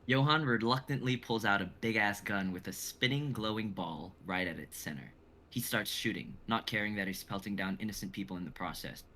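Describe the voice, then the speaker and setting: A man's voice: on the low side, a little fast, bright, forceful tone, a little hiss or hum. A young man reading stage directions as dramatic narration in a live script reading. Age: young adult.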